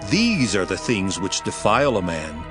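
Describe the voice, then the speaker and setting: A grave holy teacher in a dramatized scripture reading. His low voice moves widely as he declaims with solemn, measured emphasis. Music plays clearly behind him. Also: huge pitch swings. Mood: solemn teaching.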